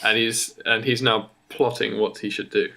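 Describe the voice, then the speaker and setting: A man co-hosting a podcast, casually improvising a story in a halting way, as if thinking aloud. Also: on the low side, steady tone, creaky, sharp tone. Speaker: podcast co-host.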